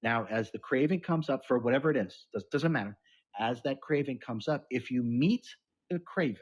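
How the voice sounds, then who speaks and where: A man with a husky, slightly breathy voice speaks in an earnest, emphatic way. He is a podcast host teaching about spirituality, and his voice sits at a middle pitch. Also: poor audio.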